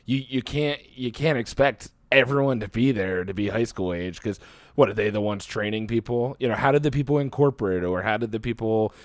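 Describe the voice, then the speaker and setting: A man's voice: fairly low pitch, fairly quick, no room sound, clean studio sound. A man with a Philadelphia-area Mid-Atlantic American accent, chatting casually as a podcast co-host. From Philadelphia area, United States. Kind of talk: casual chat.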